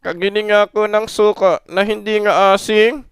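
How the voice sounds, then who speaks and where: Squeaky high-pitched puppet boy. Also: flat delivery, moderate pace, clean tone, no echo, clean studio sound. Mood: cheeky. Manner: playful, childlike, sing-song. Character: cheeky five-year-old boy ventriloquist puppet, eager joker. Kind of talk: comic puppet dialogue.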